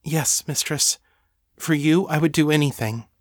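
A man's voice: a little high, unhurried, dry recording, studio quality, pitched above the performer's own voice, natural voice. A man voicing a devoted, self-effacing female thrall in an audiobook, earnest and reverent in manner. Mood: abashed, obedient.

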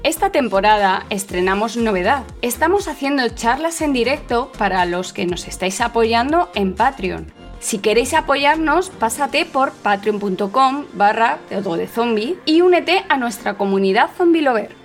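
Woman, fairly high pitch, scripted podcast announcement, faint music behind. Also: expressive, moderate pace. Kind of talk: scripted promo narration.

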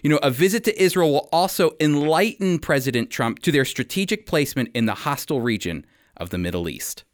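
An American man hosting and teaching the Bible on radio in a prepared, deliberate and emphatic storytelling delivery, close on a studio microphone. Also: on the high side, animated.

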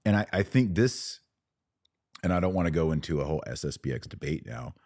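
A man with an extremely low, deep voice talks as a podcast guest. He speaks conversationally, earnestly and deliberately, often restarting as he works through his point. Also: darkish tone.

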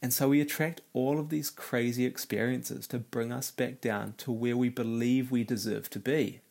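A man talks through a motivational podcast episode in a conversational, earnest way. His voice is raspy and nasal from a cold, with a gravelly, fried texture at a mid-range pitch. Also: fairly even intonation, normal speed, a little mellow. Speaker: podcast host. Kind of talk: solo self-help podcast talk.

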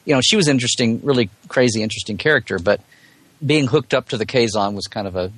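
A man with a mid-pitched voice talks as a guest in a casual interview. He explains at length in a chatty way and drops in joking asides. The sound is dry, with little room in it. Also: fast talker, fairly bright tone, dry recording. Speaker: returning podcast guest.

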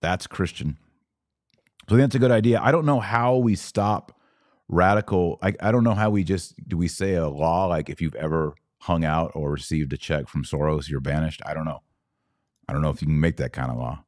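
A man's voice: a bass voice, brisk, darkish tone, no echo, studio quality. A man hosting a live religious commentary podcast, answering comments in an exhortative, emphatic way and repeating key phrases.

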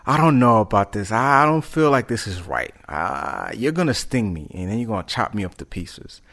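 Storybook frog, played by a man, gravelly, hesitant, doubtful, cautious. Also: fairly low pitch, sing-song, moderate pace, a bit bright. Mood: worried doubt. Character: wary, reluctant, trusting frog.

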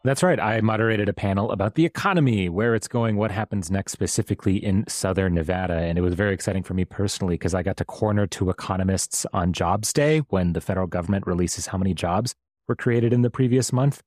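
A man's voice: low-pitched, fast talker, darkish tone, no room sound, clean studio sound. A man co-hosting a news podcast, eager and talkative as he sets up clips. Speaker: podcast co-host. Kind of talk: casual podcast chat.

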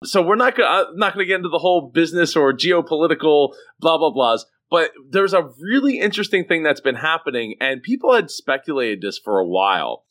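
A man hosts a casual business talk show with a very animated voice that rises and falls widely. He speaks in an exclamatory way, doubling up short phrases for emphasis. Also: a little high, a little fast, hardly any pauses. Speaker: podcast host.

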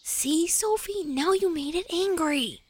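A woman's voice: very high-pitched, slow, darkish tone, a little hiss or hum. A female performer playing a cautious, sensible, skeptical young boy in a children's audio drama, earnest and worried.

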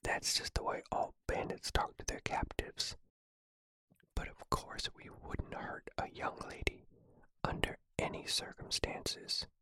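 A boastful storybook bandit, as a narrator whispers him, full of bluster and put-on threats. The voice is a slow, breathy whisper, crisp and bright in tone, with dry, close sound. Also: no echo, rough recording. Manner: mock-threatening, bragging speech.

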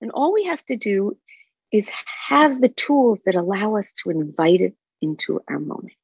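An older woman from Israel, telling her personal story as an interview guest, phrasing things deliberately and emphatically. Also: mid-range voice, expressive, creaky, husky, no room sound, dull audio. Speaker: guest, spiritual counselor.

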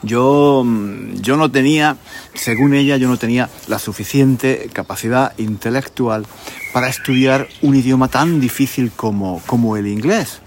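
A man with an accent from Spain telling stories conversationally as a language podcast host, deliberately repeating phrases for emphasis. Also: medium pitch, slight background noise. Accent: Spanish, from Spain. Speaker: podcast host, Spanish teacher. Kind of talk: conversational storytelling for language learners.

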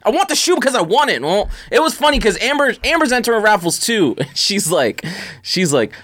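An American podcast co-host in casual banter, blunt, opinionated and quick to contradict. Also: mid-range voice, big pitch swings, a bit bright, no echo.

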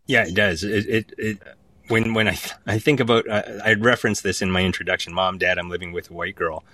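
A man's voice: sits low, fairly even intonation, a little fast, sharp tone, no echo. A man speaking as a playwright and guest in a casual panel discussion, emphatic and given to repeating phrases for effect.

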